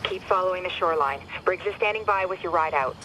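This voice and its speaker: A woman playing a cool, businesslike mission handler in a video game, giving crisp instructions. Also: fairly low pitch, quick pace, bright, forceful tone, slight background noise, poor audio. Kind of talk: cinematic video game acting.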